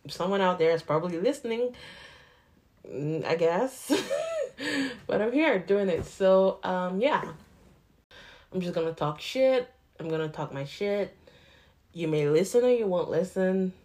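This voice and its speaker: Woman in her twenties, low voice, Nigerian accent shifting to Canadian, casual podcast chat, rambling, candid. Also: animated, a little slow, long pauses, a little mellow. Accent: Nigerian mixed with Canadian. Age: 20s. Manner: rambling and candid, with laughs and swearing. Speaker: podcast host.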